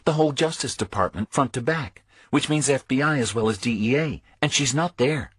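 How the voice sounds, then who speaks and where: A man's voice: mid-range voice, brisk, a bit bright, husky, dry recording, studio quality, voice pushed up. A man voicing a frustrated, worried woman, a federal agent in a thriller audiobook, with insistent, emphatic delivery.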